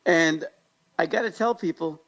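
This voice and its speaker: A man's voice: a little high, normal speed, a bit bright, lo-fi sound. An American man co-hosting a podcast in casual conversation, telling stories in an upbeat, joking way. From Pakistan-born, grew up in Kansas, United States. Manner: upbeat, jokey storytelling. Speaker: podcast co-host.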